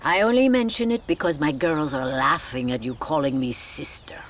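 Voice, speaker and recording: A woman's voice: low-pitched, a little hiss or hum, close to an everyday voice. A woman playing a wry, world-weary Mother Superior with a Mexican accent, speaking formally in a video game cutscene. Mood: drily amused. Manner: formal, wry, deliberate phrasing.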